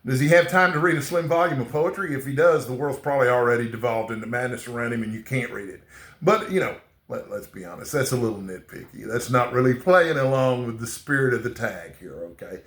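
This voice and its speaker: A man's voice: mid-range voice, fairly bright tone, roomy sound. Guy with a bit of a texan accent rambling casually to the camera, keeps saying okay. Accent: slight Texan. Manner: rambling, repeats "okay?" often, halting restarts. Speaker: booktuber.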